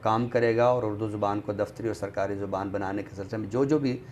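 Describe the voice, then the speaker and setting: A man with a Pakistani accent speaks as a guest in a thoughtful discussion, formal and deliberate, pausing often. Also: lowish voice, steady tone, darkish tone, slight background noise. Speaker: guest commentator on a literary show.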